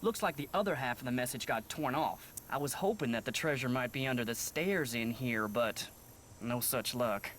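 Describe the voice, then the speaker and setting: An actor playing an earnest, secretive young American cowboy ranch hand in a video game, with a folksy, plain-spoken way of talking. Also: male voice, medium pitch, moderate pace, a bit bright, slight background noise, lightly acted. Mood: confiding.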